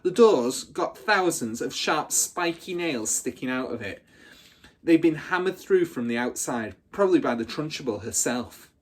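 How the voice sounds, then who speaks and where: Man acting a husky, scornful schoolgirl bully. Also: a little high, lively intonation, a little slow, voice pushed up. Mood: boastful. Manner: scornful, relishing grisly details. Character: rugged ten-year-old bully girl, scornful, boastful, dramatic.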